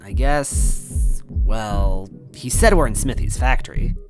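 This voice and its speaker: A man's voice: mid-range voice, expressive, slow pace, music in the background, voice pushed up. A man voicing an anxious, earnest young female mushroom companion in a story reading, breathless and hesitant. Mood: musing, hesitant.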